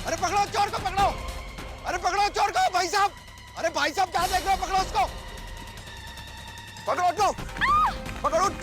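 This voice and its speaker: An actor playing a furious man who shouts and scolds repetitively in a television drama. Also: very high-pitched, steady tone, normal speed, pauses often, music in the background. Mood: furious, alarmed. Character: furious man chasing and scolding a book thief. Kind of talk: Hindi television comedy-drama acting.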